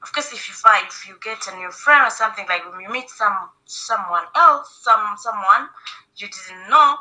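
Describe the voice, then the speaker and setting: Indignant woman, piercingly bright. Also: mid-range voice, normal speed, rough recording. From Uganda. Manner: indignant and emphatic. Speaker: caller leaving a voice message. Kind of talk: impassioned voice message.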